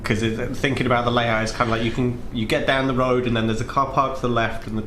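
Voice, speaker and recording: A man's voice: mid-range voice, little pitch movement, fast, roomy sound, background noise. A man, playing in a tabletop game, talking in long hedging sentences full of likes. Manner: rambling, hedging with many likes. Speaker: player.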